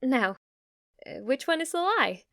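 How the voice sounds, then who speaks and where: A woman's voice: high-pitched, very animated, measured pace, a bit bright, no room sound, studio quality, heavily acted. A woman voicing an obsessive, lovestruck witch in an intimate ASMR roleplay, with a hesitant, gushing way of talking. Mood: nervous, smitten. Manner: hesitant, rambling, gushing, giggly delivery. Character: lovestruck yandere witch, obsessive, shy yet giddy, teasing.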